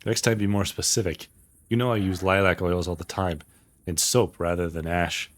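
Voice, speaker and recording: A man's voice: low voice, little pitch movement, normal speed, natural voice. A man voicing a cautious, stubborn young woman hunter in a visual novel, plain and defensive in manner. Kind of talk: visual novel character read aloud.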